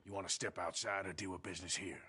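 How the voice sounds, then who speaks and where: An actor playing a tough, sardonic outlaw cowboy in a Western video game, blunt and folksy, with an American drawl. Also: male voice, sits low, steady tone, fast talker, bright, forceful tone, breathy edge, full character voice. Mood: dry, menacing.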